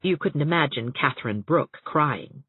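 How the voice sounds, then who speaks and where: Husky, expressive woman narrating an audiobook. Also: deep voice, normal speed, dry recording, narrow, tinny audio.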